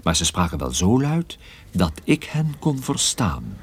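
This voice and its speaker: An actor playing a world-weary private detective, narrating his case in a radio play with dry, unhurried wit. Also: deep voice, huge pitch swings, breathy edge, full character voice. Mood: wry, detached. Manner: dry, wry, unhurried storytelling. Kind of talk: radio drama first-person narration.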